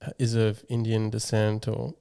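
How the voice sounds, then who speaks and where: A man hosts a casual interview podcast in a low, dark and smooth voice with a bit of gravel. He speaks in a steady, flat tone and muses in a laid-back way, full of "like" and small hedges. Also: normal speed. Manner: laid-back, reflective, lots of "like" and hedging. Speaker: podcast host.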